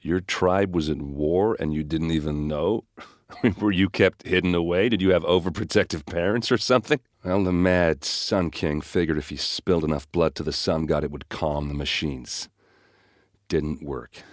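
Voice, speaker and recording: Gruff warrior, video game character, hard-drinking, friendly, boastful, wry, dry studio sound. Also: male voice, very deep voice, no echo. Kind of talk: game dialogue and combat barks.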